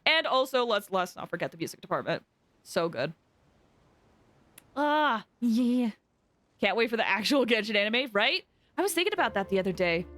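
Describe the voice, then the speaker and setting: A woman's voice: fairly high pitch, a bit bright, dry recording. A young woman chatting casually and effusively as a game livestreamer, often exclaiming. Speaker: game streamer. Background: game music.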